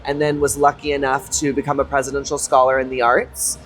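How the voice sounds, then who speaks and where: A drag performer and choreographer from Texas, chatting as a guest in a lively, campy, playful way with quick quips. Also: male voice, mid-range voice, soft background music, poor audio. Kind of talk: casual interview chat.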